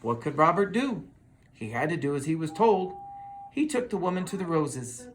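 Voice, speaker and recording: A person reading a children's story aloud in an expressive, dramatic manner. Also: medium pitch, moderate pace, slight background noise. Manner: expressive, with dramatic sound words. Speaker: storybook reader.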